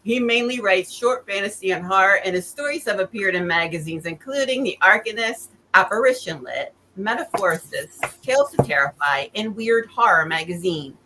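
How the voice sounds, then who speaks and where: A woman's voice: medium pitch, expressive, moderate pace, hardly any pauses, bright, forceful tone, poor audio. A woman hosting an online reading event, introducing a guest author from a written bio over a video call. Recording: online call with clear background noise.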